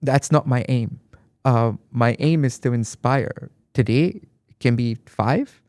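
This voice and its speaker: A man's voice: mid-range voice, slow, darkish tone, no room sound. A man from Dubai, guest on an interview podcast, speaking reflectively and with emphasis, often repeating phrases. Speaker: guest artist. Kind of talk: conversational interview.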